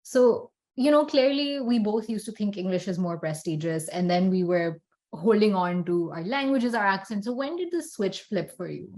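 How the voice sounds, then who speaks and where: A young woman with a Pakistani accent, co-hosting a casual podcast and steering the conversation in a measured way. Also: mid-range voice, expressive, darkish tone. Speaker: podcast co-host.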